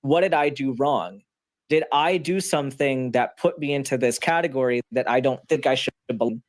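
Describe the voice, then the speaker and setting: A man's voice: mid-range voice, normal speed, no room sound. A man speaking as a guest in a casual livestream chat, reflective and questioning.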